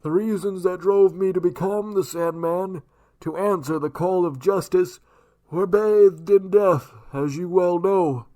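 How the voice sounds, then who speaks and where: A man voicing a grim 1940s masked male vigilante in a radio drama, speaking in a measured, formal, grave way. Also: sits high, mellow.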